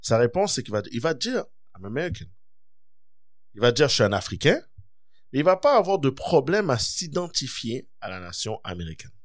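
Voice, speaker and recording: Lively storyteller man. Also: mid-range voice, fairly quick, no echo, studio quality. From Quebec. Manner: expressive storyteller, acts out dialogue. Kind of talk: casual discussion, storytelling.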